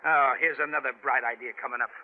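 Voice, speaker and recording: A man's voice: medium pitch, fairly even intonation, quick pace, phone-quality sound, natural voice. A male actor playing a sarcastic, suspicious stranded man with a clipped, theatrical delivery in an old-time radio drama. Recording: thin, noisy vintage radio broadcast.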